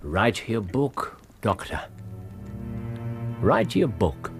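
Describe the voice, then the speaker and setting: An actor playing a brilliant, theatrical Victorian detective in a radio drama, English, wry and precise in manner. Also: male voice, lowish voice, wildly swooping pitch, very slow, vocal fry, over music, heavily acted. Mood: quiet, encouraging. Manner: wry, precise, exclamatory, given to dry chuckles.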